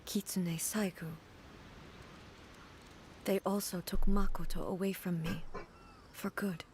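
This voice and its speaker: A woman voicing a solemn, reserved female ruler in a video game, speaking slowly and softly in a measured way. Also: mid-range voice, fairly even intonation, a little hiss or hum, natural voice. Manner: slow, measured, soft-spoken.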